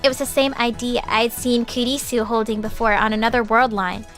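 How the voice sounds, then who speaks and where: A woman streamer reading a visual novel's narration aloud, breaking off into loud, excited reactions. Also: a little high, fairly quick. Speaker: vtuber streamer. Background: game background music.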